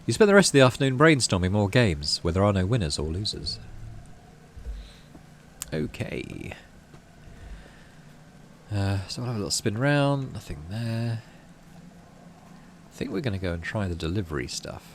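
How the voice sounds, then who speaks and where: Lively low-voiced man doing a let's play. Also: moderate pace, long pauses, a little hiss or hum. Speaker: gaming channel host. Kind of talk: let's play, reading game text aloud with casual commentary.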